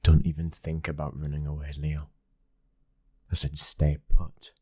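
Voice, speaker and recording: A man plays a British mafia boss's son in an intimate audio roleplay. His voice sits extremely low, with a husky grain and little melodic movement. He is a commanding, stern figure and a loving husband. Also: completely flat delivery, measured pace, darkish tone, exaggerated voice. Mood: commanding, angry. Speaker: ASMR roleplay voice actor. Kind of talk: intimate ASMR boyfriend roleplay acting.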